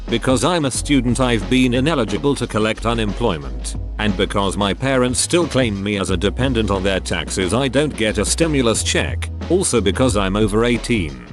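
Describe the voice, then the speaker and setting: A man reading out online posts one after another, spelling abbreviations letter by letter. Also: fairly low pitch. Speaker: Reddit post reader. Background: faint background music.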